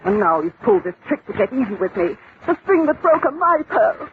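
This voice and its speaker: A woman's voice: medium pitch, sing-song, fairly quick, lightly acted. A woman playing a haughty, meddling middle-aged society lady in an old radio drama, grand and affected. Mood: alarmed, indignant. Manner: grand, affected, easily indignant. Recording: tinny old radio recording, faint noise.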